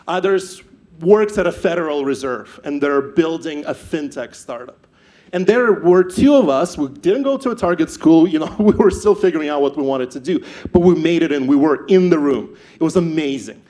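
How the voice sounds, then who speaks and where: A young man from Ukraine with a Ukrainian accent, telling his startup story on stage, animated and often repeating words as he restarts. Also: fairly high pitch, moderate pace. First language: not English. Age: young adult. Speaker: startup founder speaker.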